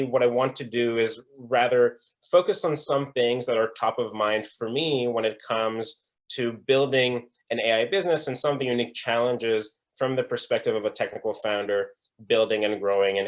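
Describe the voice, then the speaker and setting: A man's voice: medium pitch, fairly even intonation, moderate pace, few pauses. Young man giving a conference talk. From Israel. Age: young adult. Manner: earnest, with frequent um fillers and restarts. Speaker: conference speaker. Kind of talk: presentation talk. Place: online breakout session.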